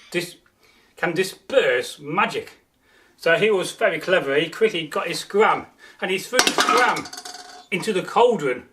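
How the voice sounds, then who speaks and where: A man telling a folktale as a storyteller, deliberate, with dramatic pauses and repeated words for emphasis. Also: high voice, a bit bright. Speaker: storyteller, channel host.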